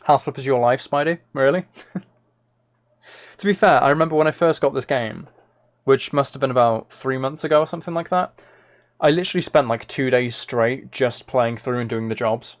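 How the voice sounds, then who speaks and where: A man's voice: mid-range voice, normal speed. A man live-streaming video games, chatting casually to his viewers and thinking aloud as he plays.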